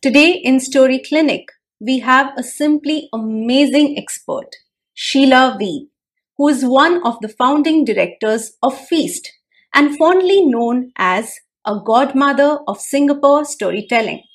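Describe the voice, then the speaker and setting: A woman's voice: high voice, unhurried. A woman podcast host introducing her guest with upbeat enthusiasm. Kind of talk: scripted show introduction.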